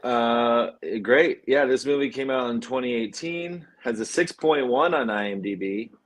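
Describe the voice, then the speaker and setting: A man with a mid-range voice co-hosts a relaxed discussion of films. He talks casually and a little haltingly, filling gaps with ums as he goes. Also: medium pitch. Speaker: podcast co-host.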